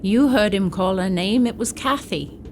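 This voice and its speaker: A woman's voice: medium pitch, normal speed, close to an everyday voice. Woman acting an elderly, deferential manor housekeeper. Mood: grave, storytelling. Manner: deferential, matter-of-fact. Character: long-serving elderly female housekeeper, deferential, grave. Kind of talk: radio drama acting. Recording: faint background noise.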